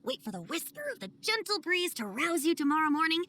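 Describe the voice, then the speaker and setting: Woman voicing a bright, theatrical boyish bard. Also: sits high, big pitch swings, a little fast, faint music behind, heavily acted. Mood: grandiose. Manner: flowery, theatrical storytelling. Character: whimsical, theatrical boyish male bard, breezy and playful. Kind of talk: animated video game voice acting.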